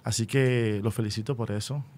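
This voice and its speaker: A man with a Puerto Rican accent hosting an unscripted radio interview, speaking deliberately and often checking in with short rhetorical questions. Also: mid-range voice, fairly even intonation, a little mellow. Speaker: radio host and school educator.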